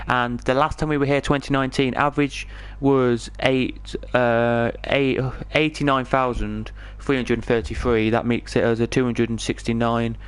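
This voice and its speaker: Clear-voiced English man commentating sim racing. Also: medium pitch. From England. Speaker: esports race commentator.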